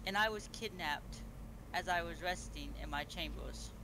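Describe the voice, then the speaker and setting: A man playing a solemn beastman empress in online game role-play, making a formal, halting speech. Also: a little high, close to an everyday voice. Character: Empress Dragon, solemn ruler of fantasy beastmen. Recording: noisy amateur game voice chat.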